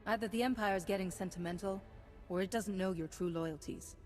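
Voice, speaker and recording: An actress playing a resolute, wry heroine in a video game, speaking in a direct and assured way. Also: female voice, medium pitch, a little fast, darkish tone, soft background music, natural voice. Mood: steady. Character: resolute, wry Republic heroine taking charge. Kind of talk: video game cutscene acting.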